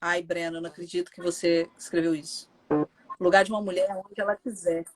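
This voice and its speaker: A woman's voice: fairly low pitch, flat delivery, fast talker. A Brazilian woman with a Brazilian Portuguese accent, a mother telling an interviewer her story in a casual, emphatic, indignant way.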